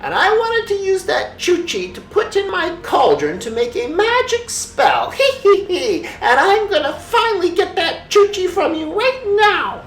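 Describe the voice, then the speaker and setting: A storyteller voicing a gleeful, sneaky witch for a children's bedtime story, with a shrill voice and drawn-out cackles. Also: huge pitch swings, a bit bright, roomy sound, heavily acted. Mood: gleeful scheming.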